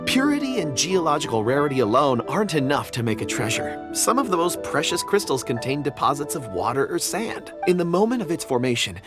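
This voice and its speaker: An actor playing a young, eccentric rock expert in a video game, polite, chatty and enthusiastic. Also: male voice, a little high, big pitch swings, fairly quick, hardly any pauses. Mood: fascinated. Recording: music behind the voice.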